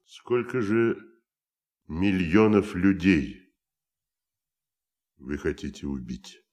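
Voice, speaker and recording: Stern army general, super low voice, terse and bitter, radio play. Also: male voice, sing-song, extremely slow, a little mellow, exaggerated voice. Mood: grimly bitter. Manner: grim, terse, dry. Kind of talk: Russian audio drama acting.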